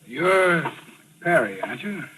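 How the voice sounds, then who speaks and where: An actor playing a probing, informal police detective in a 1940s radio thriller. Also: male voice, on the high side, very animated, slow, pushed and bright, a little hiss or hum, exaggerated voice, rough recording. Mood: probing, wary. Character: probing male police detective, suspicious and casual. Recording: old radio broadcast recording.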